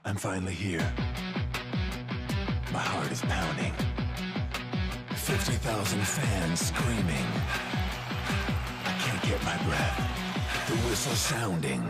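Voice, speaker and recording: Man as a slow, deep, awed athlete. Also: animated, over loud music. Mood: tense, awed. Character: nervous, awed player in spoken theme-song intro. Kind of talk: dramatic spoken song intro.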